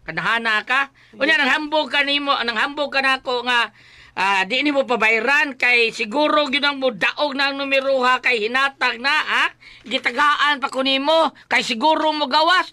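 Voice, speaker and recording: A man playing an excitable, aggrieved husband and luckless bettor in a comic radio drama, with a Cebuano accent and whiny, exclamatory complaints. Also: extremely high pitch, moderate pace, heavily acted. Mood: exasperated, accusing.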